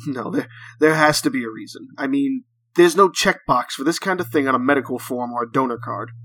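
A man voicing a troubled, hesitant would-be killer in a story, speaking in a measured, probing way. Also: mid-range voice, sharp tone, no room sound. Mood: insistent. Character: bereaved, hesitant man with a gun, seeking a kill.

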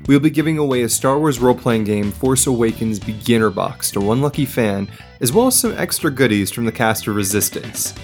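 A man's voice: medium pitch, expressive, a little fast, darkish tone, faint music behind. A man speaking as a player and announcer on a tabletop role-playing podcast.

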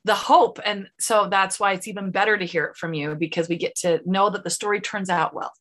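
A woman with a Utah accent hosting a podcast interview, chatty and quick to laugh. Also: medium pitch, steady tone, fairly bright tone. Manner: bubbly, laughs often, chatty. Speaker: podcast host. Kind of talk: casual interview chat.

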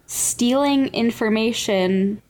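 A woman's voice: a little high, slow pace, a little mellow, poor audio. Enthusiastic woman on a blown-out mic. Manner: enthusiastic, laughs often, many "um"s. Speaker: podcast co-host. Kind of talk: casual podcast chat. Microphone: gain set too high, sounds blown out.

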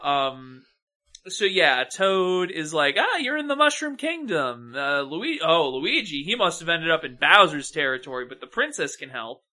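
A man's voice: on the high side, sing-song, unhurried, pushed and bright. A man co-hosting a podcast, chatting casually about film in a blunt, emphatic, sweary way. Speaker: podcast co-host.

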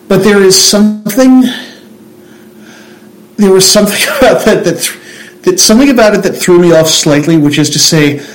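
A man's voice: fairly high pitch, rough recording. A man with a Central New Jersey accent, chatting casually and rambling through tangents with many restarts. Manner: rambling, self-interrupting, restarts a lot. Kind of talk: casual chat.

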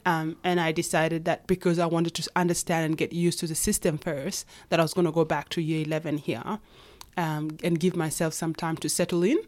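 A woman in her thirties whose first language is Nuer speaks in a somewhat low voice. She answers questions hesitantly, with frequent pauses and restarts as she recalls her past. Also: flat delivery, darkish tone. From Kenya. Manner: hesitant, many fillers and restarts. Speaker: guest. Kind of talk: interview conversation.

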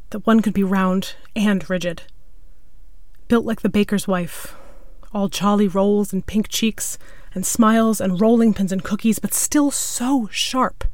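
A woman's voice: mid-range voice, darkish tone, no echo. A woman reading a piece of scripted literary fiction as its narrator. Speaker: story narrator.

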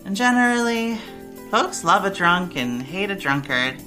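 A woman's voice: mid-range voice, very animated, measured pace, sharp tone. An actress playing a breezy, supportive, blunt young woman who talks in casual slang in an audio drama, with music behind her. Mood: reassuring, breezy. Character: Roxy, breezy supportive party-loving young woman, recovering alcoholic. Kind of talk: fandub audio drama dialogue.

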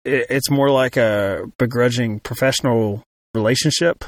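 A man's voice: mid-range voice, moderate pace, dry recording, studio quality. A man co-hosting a casual film discussion, talking thoughtfully with frequent restarts and fillers. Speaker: film podcast co-host.

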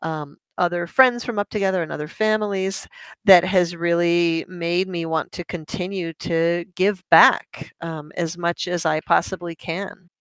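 A woman interpreting a guest's answer into English, measured and calm with soft fillers. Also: fairly low pitch, clear, resonant tone, dry recording.

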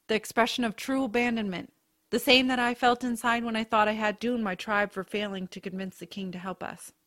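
Woman acting serious wolf shapeshifter lady, mid pitch, earnest formal talk, fantasy audio drama. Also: a bit bright, natural voice. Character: earnest, solemn female shapeshifting wolf warrior of a tribe.

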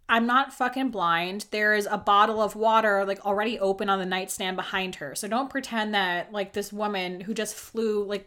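Woman, young adult, podcast host, casual recap, sarcastic, filler-heavy, average pitch. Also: a bit bright. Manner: chatty, sarcastic, lots of filler likes and swearing. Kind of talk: casual solo commentary.

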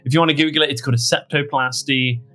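A man's voice: a little high, steady tone, fast, bright, forceful tone. Jokey male podcast host over guitar music. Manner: jokey, rambling, self-mocking. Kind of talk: casual comedic chat.

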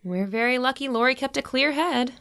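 A warm mom in an audio drama, middle aged, teases gently, earnest when she gives advice. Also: sits high, fairly quick. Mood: gently teasing. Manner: gentle, earnest, motherly advising. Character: warm, gently teasing middle-aged mother of teenage daughters. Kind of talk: audio drama acting, naturalistic dialogue.

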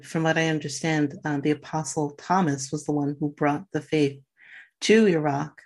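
A woman hosting a podcast, reading a scripted introduction and asking interview questions in a clear, deliberate way. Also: sits low, fairly even intonation, a little mellow. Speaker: podcast host.

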